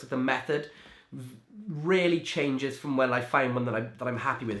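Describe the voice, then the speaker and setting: A man's voice: on the high side, fairly bright tone. Chatty young man from Wales. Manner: chatty, lots of "like" and restarts. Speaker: magician and creator. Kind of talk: interview answers. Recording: echoey room.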